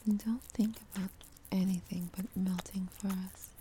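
A woman whispering breathily in sexually explicit adult ASMR audio, drawn-out and soothing, with soft hums. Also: mid-range voice, steady tone, background noise.

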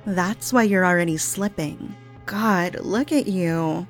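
A woman voicing an unstable, obsessive young woman in an audio roleplay, speaking in a breathy, sing-song coo that turns menacing. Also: lowish voice, slow, soft background music. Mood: low menacing.

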